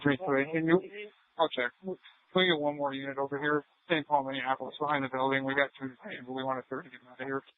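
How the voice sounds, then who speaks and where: A man's voice: medium pitch, little pitch movement, fast, dry recording. A male police officer giving status reports from the field over a police radio. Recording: tinny narrow-band police scanner audio.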